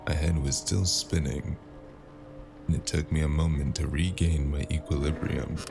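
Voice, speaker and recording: Guy playing beat-up noir detective, very low and gravelly, sardonic muttering, cyberpunk audio drama. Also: steady tone, normal speed, music in the background. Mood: weary narrating. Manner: world-weary, muttering, sardonic. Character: battered, sardonic male noir investigator, world-weary and groggy.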